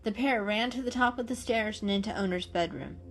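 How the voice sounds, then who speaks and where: A woman's voice: mid-range voice, brisk. A woman narrating a children's story as a dramatic reading.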